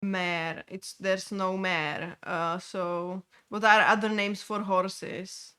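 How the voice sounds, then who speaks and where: A young woman with a Czech accent chats while streaming a game. She speaks slowly at a middling pitch, stopping often to restart her sentences with ums and uhs. Her first language is Czech. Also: little pitch movement, fairly bright tone, clean tone. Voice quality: halting, many fillers and restarts. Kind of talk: casual gameplay chat.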